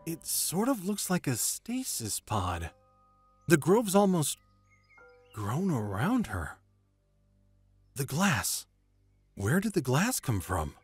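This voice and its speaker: An actor playing a fussy, anxious fantasy adventurer in an audio drama, proper, fretful and theatrical. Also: male voice, a little high, huge pitch swings, takes it slowly, long pauses, husky, soft background music, exaggerated voice. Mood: alarmed. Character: fussy, anxious male companion in sandals.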